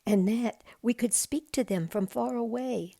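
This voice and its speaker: A woman's voice: on the high side, measured pace, gravelly, warm tone, pitched above the performer's own voice. A woman voicing a small, eager, wistful male hobbit in a storybook, speaking simply and earnestly.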